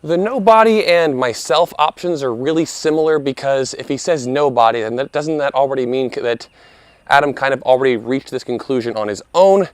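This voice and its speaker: A man in his thirties talks straight to the listener, his voice a touch hoarse from a cough he hasn't shaken. His pitch sits in an ordinary middle range, and the close, dry sound leaves no room echo. He keeps it earnest and conversational, with a playful aside now and then. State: slightly hoarse from a lingering cough. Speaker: video host, Bible commentator. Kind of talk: casual scripted commentary.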